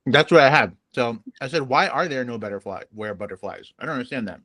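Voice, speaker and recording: A man's voice: medium pitch, expressive, fast talker, fairly bright tone, no echo. A man chatting casually and informally as a co-host on a book podcast. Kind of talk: casual chat.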